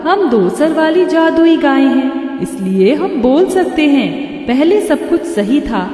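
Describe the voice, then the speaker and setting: A woman voicing a kindly magical two-headed cow in a cartoon story, speaking slowly and stately. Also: sits high, very animated, mellow, very echoey, big-room sound, faint music behind, pitched above the performer's own voice, exaggerated voice. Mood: solemn.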